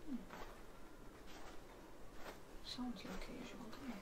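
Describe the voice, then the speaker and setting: A young woman playing a tough, secretive hacker rescuer in an ASMR roleplay, hushed and terse, blunt yet reassuring. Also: fairly high pitch, slow, very noisy. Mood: guarded, calm. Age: young adult. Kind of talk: ASMR roleplay, soft spoken.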